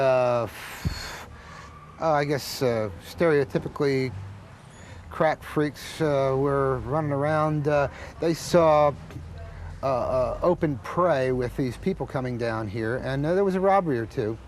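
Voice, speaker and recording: A man's voice: medium pitch, unhurried, slight background noise. A man from an artist community, talking hesitantly with many fillers in a documentary interview.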